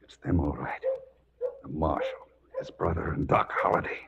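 A man's voice: a bass voice, huge pitch swings, normal speed, vocal fry, exaggerated voice. A man playing an uneasy young-generation outlaw on lookout in a 1940s radio western, terse and urgent. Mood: tense lookout. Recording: dull, very noisy 1940s radio recording.

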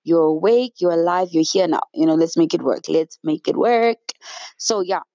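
Woman vlogger, deep voice, under the weather and a little breathless, chatty and upbeat, dry close mic. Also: normal speed, dark tone, no room sound, lo-fi sound. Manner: chatty, upbeat, storytelling with faith references. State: fluey and short of breath. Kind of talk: casual inspirational talk to camera.

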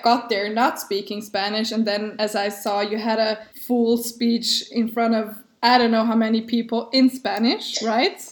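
A woman interviewer with a slightly high voice asks questions in a friendly, complimentary way, laughing often. Her voice sounds roomy, with noticeable echo around it. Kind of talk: casual interview chat.

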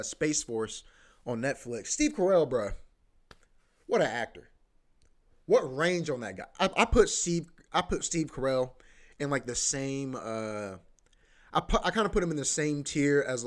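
A young man hosting a solo podcast, rambling in a laid-back slangy way and saying "bro" and "you know what I mean" all the time. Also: mid-range voice. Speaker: podcast host; comedy show host.

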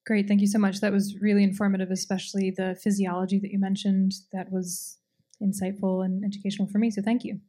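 A woman's voice: medium pitch, flat delivery, fast talker, dark tone. A woman moderating a panel, thanking speakers politely and introducing questions. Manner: polite, appreciative. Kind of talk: panel discussion.

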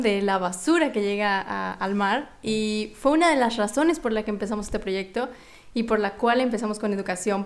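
A woman with a Mexican accent and a fairly high voice. She speaks as an interview guest, explaining her points in a casual, conversational style. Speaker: interview guest, founder of a volunteer project.

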